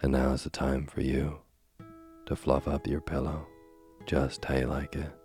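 A man hosting a sleep podcast and reading a bedtime story softly, slowly and soothingly, while he is losing his voice. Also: a bass voice, flat delivery. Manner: slow, gentle and soothing. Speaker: sleep podcast host and reader. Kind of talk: soft bedtime story narration. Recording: faint music behind.

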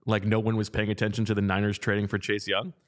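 Man, low-pitched, podcast co-host, conversational, fillers, argumentative. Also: monotone, fast talker. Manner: conversational, lots of fillers, sharp pushback. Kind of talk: casual sports chat.

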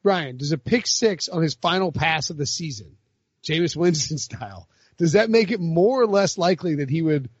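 A man's voice: fairly high pitch, animated, moderate pace, slightly rough, dry recording. A man hosting a casual sports talk podcast, rambling with lots of filler words. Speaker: podcast host. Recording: dry lo-fi podcast mic.

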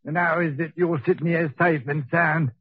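An actor playing a coarse, sickly Cockney convict in a 1940s radio drama, husky and slangy, on an old muffled recording. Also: male voice, a little high, fairly even intonation, normal speed, no echo. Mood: mocking, curious. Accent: Cockney English. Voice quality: husky, rough. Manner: slangy, chatty, needling. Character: coarse, hot-tempered, sickly working-class male convict.

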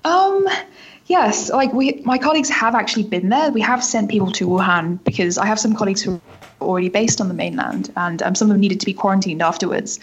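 A woman's voice: medium pitch, fairly quick, highs cut off, a little hiss or hum. A woman reporter giving conversational interview answers in an informal way, often saying "like" and "kind of". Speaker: newspaper reporter being interviewed.

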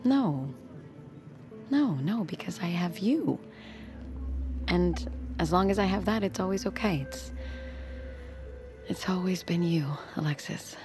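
A woman playing an anxious, tender submersible pilot and expectant mother, often hushed and halting, in an intimate audio drama. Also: fairly low pitch, lively intonation, over music. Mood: reassuring, loving.

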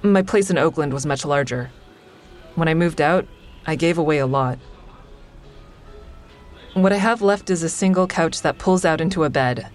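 A woman's voice: low-pitched, normal speed, long pauses, soft background music, natural voice. An actress playing a wry, wary stand-up comic, narrating her life candidly in an audio drama. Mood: wry, confiding. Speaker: lead character and narrator.

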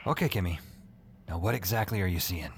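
Wry, expressive male emergency dispatcher. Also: sits low, fairly quick, a little hiss or hum. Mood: wry, soothing. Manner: sardonic, muttering, conversational. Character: wry, rattled young male 911 dispatcher. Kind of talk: audio drama acting. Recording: loud music under the voice. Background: loud dramatic music.

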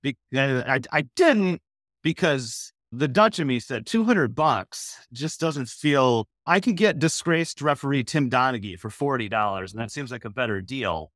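A man's voice: medium pitch, lively intonation, moderate pace, fairly bright tone, no echo. The sardonic guy a listener would hear as the guest on a chatty comedy podcast, recorded dry and close. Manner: wry, sarcastic. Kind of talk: casual comedic chat.

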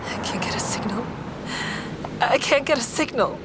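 A woman's voice: fairly high pitch, expressive, moderate pace, lightly acted. An actress playing a distraught, emotionally fragile mother pleading for help in an audio drama. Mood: anxious distress. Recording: clear outdoor traffic ambience.

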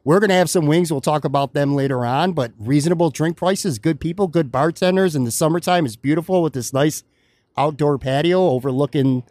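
A man with a slightly high-pitched voice hosts a casual podcast chat, asking long, friendly, rambling questions. The sound is dry and close, recorded in a bar. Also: a little fast, darkish tone, no echo. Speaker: podcast host. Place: bar or restaurant.

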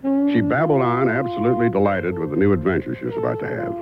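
A man's voice: fairly low pitch, sing-song, fast. A man acting a laconic, matter-of-fact private investigator telling his case in an old-time radio drama. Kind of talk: scripted first-person narration, old-time radio drama. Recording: muffled vintage radio, loud music behind.

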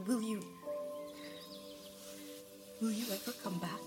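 A woman playing a loving, gently sad mother of a grown daughter in a naturalistic audio drama. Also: medium pitch, slow, music in the background, close to an everyday voice. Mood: tender, sad.

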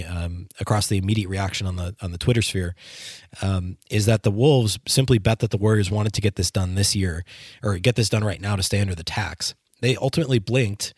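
A hoarse man with flu rattles through his analysis at a quick clip, voice sitting fairly low. He hosts a solo sports podcast, full of ums and restarts. The sound is dry and close. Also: dry recording. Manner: fast rambling analysis with many ums and restarts. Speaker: podcast host.

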